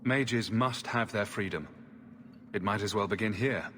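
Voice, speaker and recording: A man playing a steady, protective heroic leader in a video game, speaking in a calm, resolute manner. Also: fairly low pitch, measured pace, a little hiss or hum. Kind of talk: dramatic video game cutscene acting. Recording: long reverb, game music behind.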